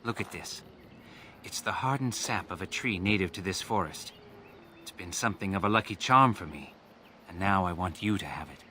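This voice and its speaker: Young elven man, reserved, gentle, measured, bright lowish voice, game cutscene, faint background noise. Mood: calm, forgiving. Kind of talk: dramatic game cutscene acting.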